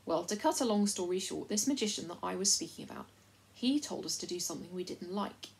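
A woman's voice: fairly low pitch, expressive, a little fast, gravelly. A woman voicing a self-important invisible male chief of servants in a storybook, folksy, repetitive and rambling. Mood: rambling, confiding. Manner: folksy, repetitive, long-winded.

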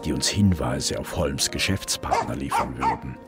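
A calm male detective in a crime radio play. His voice sits very low and he speaks in a measured, matter-of-fact, probing way. Music plays behind him. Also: vocal fry, heavily acted. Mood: measured narration. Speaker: narrator and lead detective.

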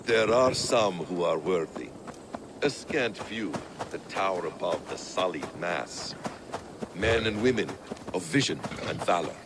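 An actor playing a worldly, thoughtful warrior-scholar in a video game, with a measured, eloquent, poetic delivery. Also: male voice, low voice, animated, background noise. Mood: reflective. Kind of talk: dramatic video game acting.

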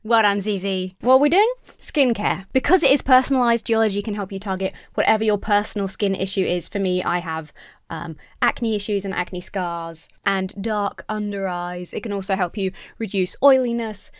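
A young woman talks into a close desk mic with a slight croak left over from a cold. Her delivery is sarcastic and animated, with quick asides. The sound is dry and close, with no room echo. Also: medium pitch. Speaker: commentary YouTuber. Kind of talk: casual commentary with sponsor read. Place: small home room, seated in gaming chair. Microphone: studio mic on stand near face.

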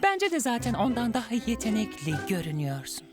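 A woman's voice: fairly high pitch, huge pitch swings, brisk. A woman playing a sly cartoon talent show registrar, teasing and theatrical, who draws out words to stir up rivalry. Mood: sly, coaxing. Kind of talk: cartoon character acting. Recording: music bed behind voice.

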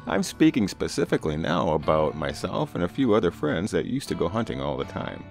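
Very deep-voiced male storyteller over music. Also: big pitch swings, fairly quick, gravelly. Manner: conversational, folksy. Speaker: storyteller, narrator. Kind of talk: first-person campfire horror story narration.

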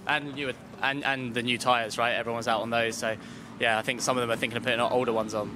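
A man's voice: mid-range voice, little pitch movement, quick pace, background noise. A man in his thirties, a racing driver, chatting casually into a handheld microphone in a paddock tent. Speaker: amateur racing driver being interviewed. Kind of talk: casual interview chat. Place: inside a paddock awning tent. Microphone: handheld interview microphone.

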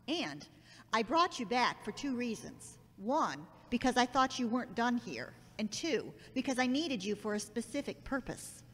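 A woman playing a brisk, businesslike boss who is close to retirement, in an audio drama. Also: on the high side, a bit bright. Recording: echoey hall reverb, background noise.

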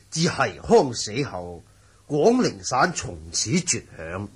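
An actor playing a bookish, excitable manor lord in a Hong Kong Cantonese radio drama, declaiming in a theatrical, savouring way. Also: male voice, medium pitch, slow pace, breathy edge, heavily acted. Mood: scornful.

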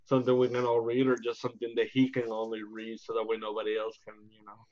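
A man playing a tabletop game over a call, talking casually and thinking out loud with hesitations. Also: medium pitch, monotone, fast talker. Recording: muffled online call audio.

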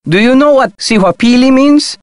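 A man's voice: extremely high pitch, lively intonation, moderate pace, clean tone, dry recording, clean studio sound. A man playing a proud, indignant diplomat in a video game, speaking formally, precisely and emphatically. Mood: sly, persuasive. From Mexico. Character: proud, indignant Mexican male diplomat, protective of heritage. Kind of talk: scripted video game dialogue.

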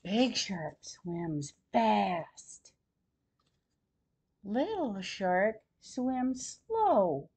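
A woman in her sixties reading a children's storybook aloud in a deliberate, expressive way for young listeners. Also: mid-range voice, slightly rough. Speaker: grandmother host reading picture books to children. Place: small plain room at home, seated in armchair.

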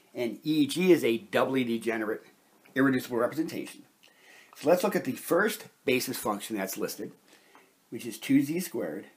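A man with a mid-pitched, husky voice works through a technical lesson at a whiteboard. He explains each step methodically, in the measured manner of an instructor. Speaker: chemistry instructor.

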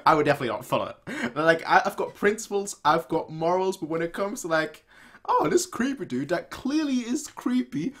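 A man in his twenties giving casual reaction commentary, haltingly repeating words as he thinks aloud. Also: high-pitched, sing-song, a bit bright. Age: 20s. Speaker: reaction video host. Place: small room at home, indoors.

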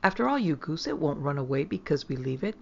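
Storybook mother role, read by a woman: affectionate yet firm, brisk, genteel, on a dull recording. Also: low-pitched, sing-song, vocal fry, heavily acted. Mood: coaxing. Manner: brisk, coaxing, reproving. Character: fond, firm, upper-class mother.

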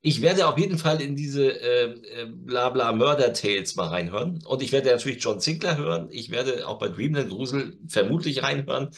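A man's voice: mid-range voice, lively intonation, moderate pace, few pauses. A middle-aged man chatting casually as co-host of a show, recorded at home on a video call. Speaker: co-host of an audio-drama news show. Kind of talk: casual chat. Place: room at home, indoors.

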